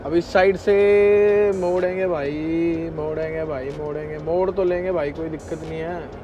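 Trucker guy vlogging, pretty high voice, chatty and animated, talking to his viewers. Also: normal speed, muffled recording, noisy background. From India. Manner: animated, addresses viewers as brother. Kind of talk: casual vlog chat to viewers. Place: truck cab and snowy yard outdoors.

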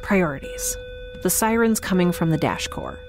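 Actress as quirky chatty woman, anxiously upbeat, lively pitch, wry rambling, space audio drama, faint ambience. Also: normal speed, background noise. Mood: anxious, self-reassuring. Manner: rambling, wry, self-reassuring. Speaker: lead character. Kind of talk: naturalistic audio drama acting, talking to herself.

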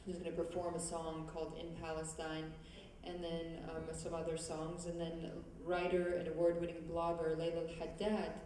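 A woman's voice: lowish voice, warm tone, far from the mic, slight background noise. A young woman giving prepared remarks at a student event, speaking hesitantly with many fillers and restarts into a handheld mic on a large stage. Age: young adult. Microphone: handheld mic, far camera. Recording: echoey hall recorded from afar.

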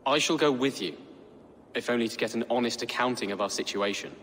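A man's voice: medium pitch, a little fast, fairly bright tone, slight background noise, close to an everyday voice. An actor playing an earnest, pious young nobleman in a video game. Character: gentle devout young nobleman, principled. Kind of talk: video game cutscene acting.

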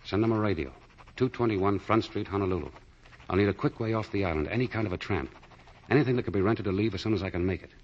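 Low-voiced suave fugitive played by an actor. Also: male voice, fast, lightly acted. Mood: urgent, clipped. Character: suave condemned fugitive man, tender and coolly resolute. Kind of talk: 1940s radio drama acting. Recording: muffled vintage 1940 radio broadcast.

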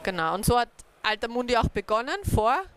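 A woman's voice: on the high side, expressive, fairly quick, a bit bright, slight background noise. A young woman, a native speaker, giving an informal talk to a small group about community networks, hesitant with many fillers. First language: German. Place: dark event room, on stage.

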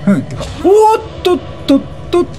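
High-pitched, animated male game streamer. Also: brisk. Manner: chatty, reactive, repeats short phrases. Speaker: let's play streamer. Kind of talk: casual excitable game commentary. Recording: game music behind the voice. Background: video game music.

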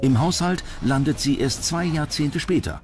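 A man's voice: fairly high pitch, moderate pace. A man reading scripted narration for a TV countdown documentary. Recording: music behind the voice. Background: background music.

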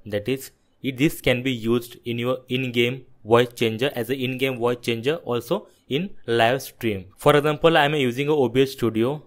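A man narrating a software tutorial, explaining things step by step in an instructive, repetitive way. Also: mid-range voice. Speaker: tech tutorial presenter.

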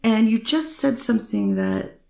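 A woman's voice: on the high side, wildly swooping pitch, a little slow, vocal fry, warm tone, echoey room, full character voice. A woman reading the part of a defense attorney methodically questioning a witness in a courtroom transcript. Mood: measured. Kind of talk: readers theater courtroom reading.

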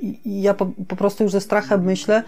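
A woman co-hosting a podcast in casual conversation, halting, repeating words and often checking for agreement. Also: medium pitch, little pitch movement, mellow, faint music behind. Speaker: podcast co-host.

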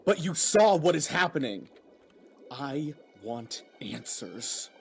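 Man playing a defiant, highish-voiced underling. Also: very animated, slow, gravelly, faint music behind, full character voice. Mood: indignant, defiant. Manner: confrontational, demanding. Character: defiant, indignant underling challenging the boss. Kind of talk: audio drama acting.

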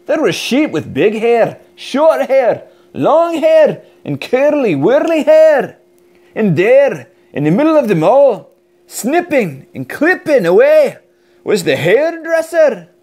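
A man with a Scottish accent narrating a children's picture book in an energetic, expressive way. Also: very high voice. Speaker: storybook narrator. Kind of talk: animated children's read-aloud.

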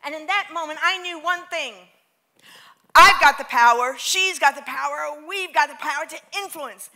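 A high, bright woman's voice with a New York accent, speaking on a large theater stage. She gives a motivational keynote with plenty of energy and pulls the crowd into call-and-response chants. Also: moderate pace, low-quality recording.

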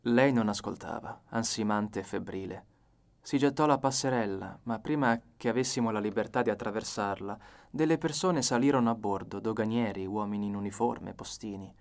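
A man's voice: lowish voice, a little fast, gravelly. A man reading an audiobook as narrator, in a dramatic scripted style.

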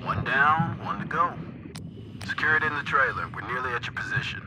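An actor playing a steady, watchful male salvage boss in a video game, giving businesslike check-ins to a diver. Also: medium pitch, a little fast, natural voice. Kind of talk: naturalistic video game voice acting. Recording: clear boat and water noise behind.

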